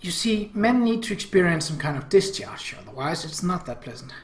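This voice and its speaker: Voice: medium pitch, expressive, fairly quick, pitched above the performer's own voice, lightly acted. A reader as a frank aunt, echoey room. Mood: matter-of-fact. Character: frank, amused policewoman aunt in visual novel.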